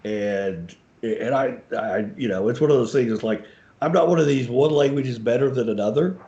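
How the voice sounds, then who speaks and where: A middle-aged man talks casually on a video call, halting and restarting as he searches for words. His voice sits at an ordinary male pitch. It sounds roomy and echoey, with dull, low-fidelity audio. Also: dark tone. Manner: hesitant, with many fillers and self-restarts. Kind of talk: casual discussion.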